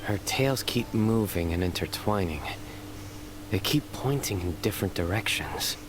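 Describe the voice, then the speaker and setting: A composed lawman for a game, lowish and deadpan, bossy, noisy capture. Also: measured pace. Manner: deadpan, authoritative. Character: stern, composed male lawman general. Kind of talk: video game voice acting. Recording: game capture with clear background noise.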